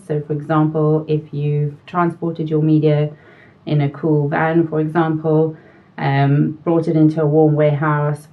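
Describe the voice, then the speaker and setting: A middle-aged woman with a South African accent, explaining technical quality topics in a measured way, pausing now and then with fillers. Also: low voice. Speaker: quality consultant guest. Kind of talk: explanatory interview answers. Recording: distant mic, dull sound.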